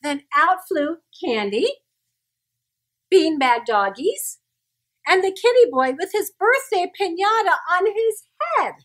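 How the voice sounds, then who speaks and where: A woman with a very high, lively voice reads a story aloud to children. She takes her time and acts it up with big swings in pitch, pausing to put questions to the listeners. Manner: expressive, dramatic, asks listeners questions.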